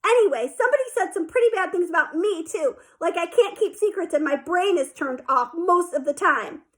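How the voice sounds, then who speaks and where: A woman's voice: very high-pitched, lively intonation, brisk, voice pushed up. A woman voicing a bossy, blunt young girl who taunts the others. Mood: taunting.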